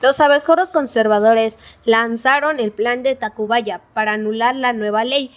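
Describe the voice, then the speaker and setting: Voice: medium pitch, moderate pace. A child reading scripted narration for a school audio drama.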